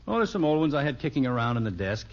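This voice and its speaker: A man's voice: medium pitch, big pitch swings, fast. An American actor playing a genial middle-aged father in a 1950s radio sitcom, patiently explaining things with mock formality. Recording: muffled 1950s radio recording.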